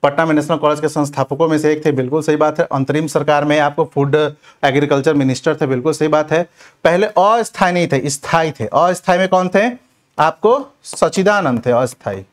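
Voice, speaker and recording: Guy teaching exam revision, kinda high voice, asks then answers, keeps checking students are following. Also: brisk. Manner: instructive, addresses students directly with repeated check-in tags. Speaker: history teacher for competitive exams. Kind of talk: fast exam-revision lecture, question and answer.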